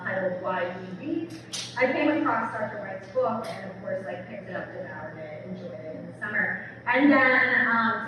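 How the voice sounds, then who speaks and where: A woman speaking as an English professor giving a public book talk in a conversational manner, often checking in with her listeners. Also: a little high. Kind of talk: public lecture, semi-scripted. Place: large reverberant room, recorded from afar. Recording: distant mic, echoey hall, noisy background.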